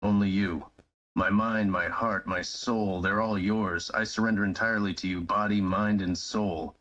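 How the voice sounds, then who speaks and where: A man playing a devoted, yielding young male lover in a live-streamed romantic roleplay reading, with slow, breathy, dramatic declarations. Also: fairly low pitch, fairly even intonation, a bit bright, lo-fi sound.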